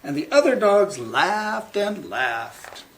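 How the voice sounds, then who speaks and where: A man reading a picture book aloud to children as a librarian at storytime, with theatrical, deliberate phrasing. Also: high-pitched, very animated, a bit bright.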